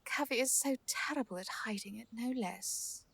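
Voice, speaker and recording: A woman's voice: a little high, moderate pace, gravelly, a bit bright, breathy edge, dry recording, heavily acted. A woman playing a genial druid lady's companion in a fantasy audio drama, formally worded yet playful. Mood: amused. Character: genial, teasing female druid companion to a lady.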